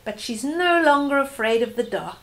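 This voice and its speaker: An older woman reading a bedtime story aloud to young children, gently and with asides and questions to the listener. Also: high-pitched, animated, normal speed.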